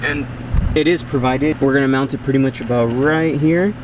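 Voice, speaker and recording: A man's voice: medium pitch, steady tone, moderate pace. A man hosting a how-to video, narrating each step in a casual, informal way. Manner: informal, plain step-by-step explaining. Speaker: host of car how-to videos. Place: in and around a parked pickup truck. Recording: distant mic, clear noisy vehicle background.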